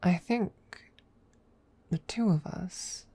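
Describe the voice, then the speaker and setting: A woman voicing a proud, guarded female dragon in an ASMR audio roleplay, speaking formally and deliberately in a close, breathy murmur that often slips toward a whisper. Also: lowish voice, mellow, no echo. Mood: coy, tentative. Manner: formal, deliberate, hesitant, with long pauses. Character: proud, guarded female dragon secretly fond of her rival. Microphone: close microphone.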